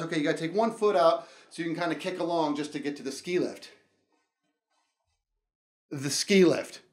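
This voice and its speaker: A man telling a comic personal story in an excitable, dramatic way, acting out reactions and dialogue as he goes. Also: high voice, reverberant. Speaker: storyteller, show host. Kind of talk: animated comedic personal storytelling.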